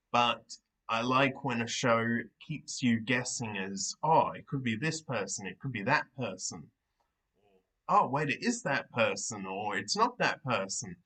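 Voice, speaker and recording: A young man hosts a casual reaction review at an unhurried pace. His voice is bright and he pauses to think aloud as he gives his opinions. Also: mid-range voice, rough recording. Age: young adult. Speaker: reaction video host. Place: small living room, indoors.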